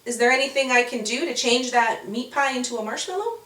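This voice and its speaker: A woman voicing a kind, caring female friend in a children's story, gentle and expressive. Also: sits high, brisk, roomy sound, distant mic.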